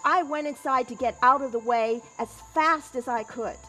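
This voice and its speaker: A woman playing a doctor's wife of the 1910s, giving a deliberate eyewitness account as a scripted historical monologue. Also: high voice, pushed and bright, soft background music. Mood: recounting. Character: doctor's wife recounting witnessing a robbers' chase in 1910s.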